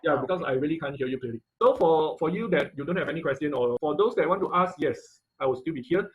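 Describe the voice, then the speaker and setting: Male online instructor, slightly high-pitched, informal and halting with repeated words, on a dull video call. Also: animated. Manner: halting, repeats words and rephrases mid-sentence. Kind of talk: informal live online technical lesson with question and answer. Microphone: video call microphone.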